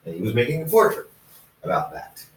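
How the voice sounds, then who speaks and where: A man reading a story aloud informally, halting and casual, with dramatic pauses and asides to listeners. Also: mid-range voice, huge pitch swings. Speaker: fan fiction narrator reading own recording. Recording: roomy, echoey, distant microphone.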